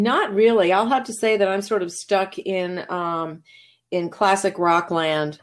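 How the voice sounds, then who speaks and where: Low-voiced articulate woman, chatty. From New England, USA. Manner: articulate but chatty, many restarts and laughs. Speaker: voice actor, interview guest. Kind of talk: relaxed conversational interview.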